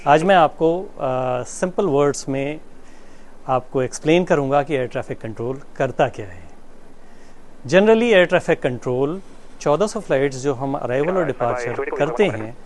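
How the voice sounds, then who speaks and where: A man with an Indian accent giving a formal, explanatory address as a manager, in measured phrases with pauses mid-sentence. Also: on the high side, lively intonation, darkish tone. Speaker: air traffic control manager. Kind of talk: explanatory public address to camera. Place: inside an airport control tower.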